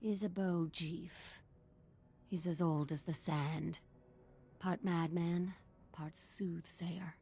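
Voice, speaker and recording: A woman's voice: on the low side, steady tone, takes it slowly, vocal fry, pauses often, mellow, breathy edge, full character voice. A woman voicing an eccentric, deferential desert guide in a video game, formal and mysterious as she tells her tale. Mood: reverent intrigue. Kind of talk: scripted video game acting.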